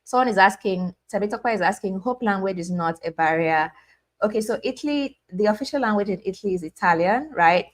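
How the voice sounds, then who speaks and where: A woman's voice: medium pitch, lively intonation, brisk. A young woman hosting a casual live stream, chatting and reading out viewers' names with many restarts and fillers. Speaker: livestream host.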